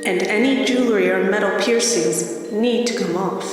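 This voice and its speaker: A woman's voice: medium pitch, moderate pace, voice pushed down. A woman voicing a businesslike adult female nurse in a story, instructive and using patronizing endearments, with heavy reverb. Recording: very echoey long reverb, music behind.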